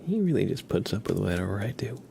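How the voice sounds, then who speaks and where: A man hosting an ASMR livestream, chatting gently and casually in a soft, breathy voice with frequent pauses. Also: on the low side, sing-song, slight background noise. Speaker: livestream host.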